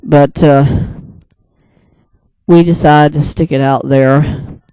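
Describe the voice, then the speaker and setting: A man casually recounting a dream in the first person, hesitant and rambling, often pausing mid-sentence. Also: a little high, fairly even intonation, dark tone, smooth, no echo.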